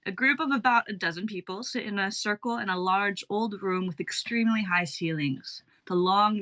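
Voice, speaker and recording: Bright-voiced woman reading stage directions. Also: lowish voice.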